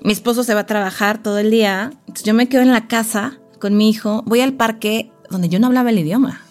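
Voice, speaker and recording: A woman's voice: a little high, fast talker, soft background music. Mexican woman in her forties telling stories. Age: 40s. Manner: lively storytelling with laughs. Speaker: guest. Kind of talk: casual chat.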